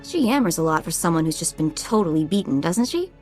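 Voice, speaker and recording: Sassy young hacker girl, lowish voice, sarcastic sidekick in a video game, soft music behind. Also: sing-song, quick pace. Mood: cold sarcasm. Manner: wry, sarcastic, casual. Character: sassy young female hacker, tough yet affectionate sidekick.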